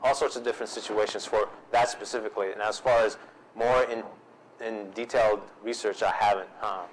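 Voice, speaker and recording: A man's voice: on the low side, steady tone, brisk, a little hiss or hum, rough recording. A man presenting a workshop and answering audience questions in an easygoing way, repeating himself with frequent ums. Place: seminar room.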